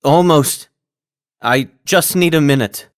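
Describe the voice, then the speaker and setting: A man playing a soft-spoken, guilt-ridden young gentleman in a game cutscene, with a halting, solemn delivery. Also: on the high side. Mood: quiet, polite. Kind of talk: animated game cutscene acting. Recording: music behind the voice.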